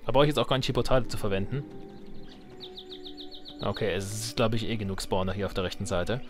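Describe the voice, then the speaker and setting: A man's voice: lowish voice, fast, over music. A man giving casual let's play commentary, thinking aloud in colloquial speech. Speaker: let's player.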